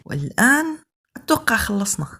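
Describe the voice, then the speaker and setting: A man's voice: extremely high pitch, sing-song, a little fast, vocal fry, pushed and bright. A young man with a Levantine Arabic accent, talking casually in a self-mocking video diary and sometimes slipping into jokingly formal Arabic. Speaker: amateur animator and vlogger.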